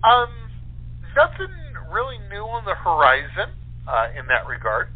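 Man, interview guest. Casual, halting, thoughtful delivery; narrow telephone sound. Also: high voice, wildly swooping pitch. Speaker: interview guest, playwright and director. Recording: tinny phone line.

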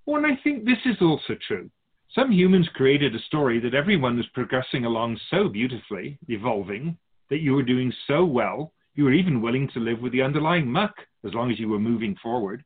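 Casting: male actor as otherworldly keeper of souls, wise and serene, lilting widely, husky edge, radio drama. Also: mid-range voice, big pitch swings, fairly quick. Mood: wise, gently encouraging. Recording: slightly muffled, phone-like narrow sound.

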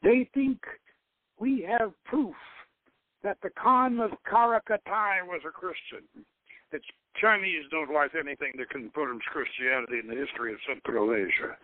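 A calculating spymaster director in an audio novel, voiced by a male reader. He explains with measured authority in a fairly high pitch, over a tinny, dry radio-like line. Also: no echo. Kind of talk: dramatic novel reading.

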